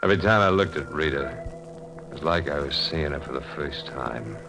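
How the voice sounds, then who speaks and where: A man's voice: extremely low pitch, lively intonation, fairly quick, gravelly, music in the background, heavily acted. A man playing a devoted, earnest, plain-spoken fishing boat skipper in a 1940s radio drama. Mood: wistful. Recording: noisy old radio recording.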